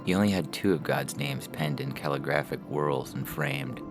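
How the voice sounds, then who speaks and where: A man narrates a scripted story in an extremely deep voice. His tone is full of gravelly creak, and loud music runs behind the reading. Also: moderate pace.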